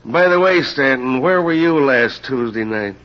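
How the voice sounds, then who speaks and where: Gruff old-radio cop, wry and clipped, medium pitch, muffled recording. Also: male voice, lively intonation, measured pace, a bit bright. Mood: wry suspicion. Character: gruff, sceptical, plain-spoken male police inspector. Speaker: radio drama actor. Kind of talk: old-time radio mystery acting.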